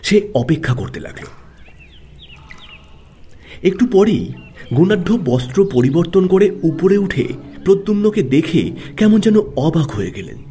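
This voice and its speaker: A man narrating a literary audio story in a dramatic, expressive style. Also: high voice, unhurried, pauses often. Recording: music under the voice.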